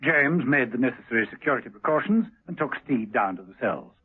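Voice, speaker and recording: An actor narrating a 1970s radio spy thriller in a measured, scripted style. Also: male voice, medium pitch, lively intonation, lightly acted. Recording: muffled old radio broadcast recording.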